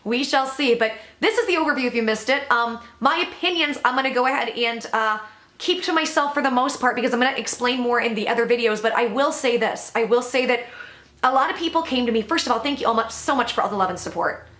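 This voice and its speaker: A woman hosting a commentary vlog update, blunt, profane and emphatic, with a loud voice that sounds worn from overuse. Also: on the high side, fast talker. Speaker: commentary channel host. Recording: echoey room.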